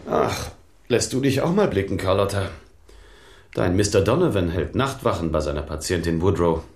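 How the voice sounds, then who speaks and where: A man's voice: sits low, animated, normal speed, a little mellow, faint music behind. An actor playing an injured, grumbling male cop in a crime radio play, reproachful but fond. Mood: sulky. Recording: roomy echo, faint noise.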